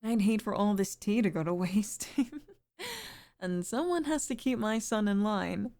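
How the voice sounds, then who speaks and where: A woman acting as a sweet middle-aged single mom in an intimate ASMR roleplay. She speaks slowly in a mellow, breathy voice that lingers near a whisper. She is tender and flirtatious, with a shy, easily flustered warmth. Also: mid-range voice, close to an everyday voice. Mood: tender, grateful.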